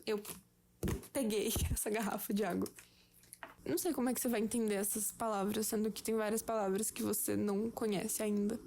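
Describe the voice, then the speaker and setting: A young Brazilian woman giving a slow, patient, playful lesson at home to a friend. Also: mid-range voice, darkish tone, slight background noise. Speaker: language teacher for a friend. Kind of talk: casual home-made lesson.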